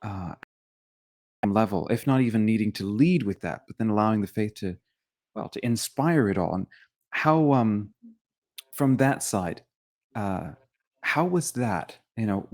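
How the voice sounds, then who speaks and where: A man with a fairly low voice hosts a casual interview. He feels his way into questions in long, musing phrases. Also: animated, a little slow, long pauses, a little mellow. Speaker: interviewer, host.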